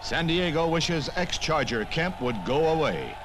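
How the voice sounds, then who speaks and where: A man's voice: fairly high pitch, animated, measured pace, background noise. An American man reading scripted 1960s newsreel narration in a punchy, wry manner, on an old film soundtrack. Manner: punchy, wry, punning delivery. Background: music.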